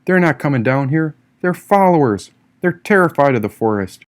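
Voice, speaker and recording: A man's voice: fairly high pitch, lively intonation, normal speed, lightly acted. Man voicing a breezy, bold rebel young woman. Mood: bossy. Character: free-spirited, bold, teasing young woman, a carefree rebel in wilderness.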